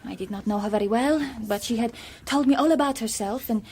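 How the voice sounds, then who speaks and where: An actress playing a passionate young impostor in a radio drama, speaking earnestly in simple, deliberate phrases. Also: female voice, a little high, a little mellow, slightly rough. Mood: candid, rueful. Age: young adult. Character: passionate young female impostor confessing, defiant.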